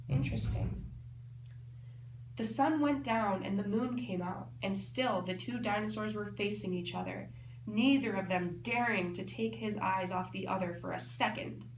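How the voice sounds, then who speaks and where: A woman's voice: mid-range voice, moderate pace. Woman teacher reading aloud, echoey room. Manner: explanatory, thinking aloud for children. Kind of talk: expressive interactive storybook read-aloud. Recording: echoey room, faint background noise.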